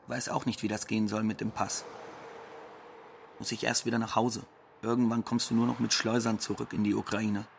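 Radio play role: wry, defiant migrant man, fairly high creaky voice, conversational monologue. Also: a little hiss or hum. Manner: blunt, sardonic. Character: wry, defiant undocumented Ukrainian migrant worker.

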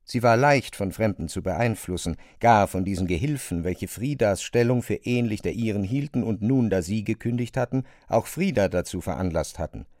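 Low, dry-sounding male narrator. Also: expressive, moderate pace, hardly any pauses, a little mellow, dry recording. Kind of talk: scripted literary narration.

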